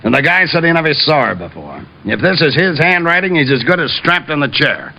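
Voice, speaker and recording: Police lieutenant, sharp bright voice, sardonic, vintage radio drama, dull recording. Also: male voice, fairly high pitch, big pitch swings, fairly quick, creaky, faint music behind, full character voice. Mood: dry. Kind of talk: 1950s radio drama acting.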